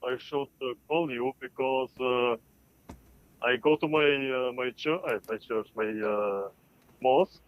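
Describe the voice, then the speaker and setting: A man with an Albanian accent calling in to a live show, speaking casually in halting, unsure phrases over band-limited call audio. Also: medium pitch, phone-quality sound. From Balkans, Albanian. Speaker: caller.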